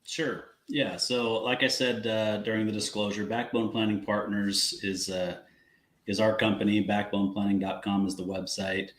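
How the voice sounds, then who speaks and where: A man appearing as a guest wealth manager, friendly and quick to laugh, explaining things plainly. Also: lowish voice, little pitch movement, roomy sound. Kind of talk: casual interview chat.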